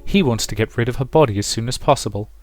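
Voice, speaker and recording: A man's voice: mid-range voice, quick pace, close to an everyday voice. A man voicing a domineering, suspicious mother who presses her child insistently, in a visual novel. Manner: insistent, probing, emphatic. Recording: music behind the voice.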